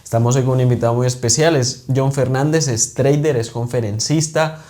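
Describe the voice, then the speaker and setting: A man hosts a podcast with a warm, mellow voice. He keeps the talk loose and joking as he questions his guest. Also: mid-range voice, steady tone, brisk. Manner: joking, casual interviewer. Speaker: podcast host. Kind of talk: podcast interview.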